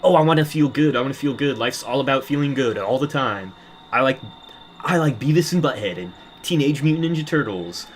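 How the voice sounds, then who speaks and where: Man mocking a pleasure-chasing older guy, pitched-up, sneering, loud. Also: expressive, brisk, slight background noise, close to an everyday voice. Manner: sneering, whiny parody.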